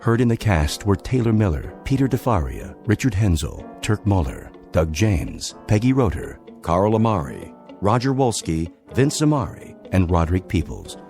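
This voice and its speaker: A man's voice: fairly low pitch, big pitch swings, normal speed, hardly any pauses, darkish tone, faint music behind. A man announcing scripted credits for a radio drama.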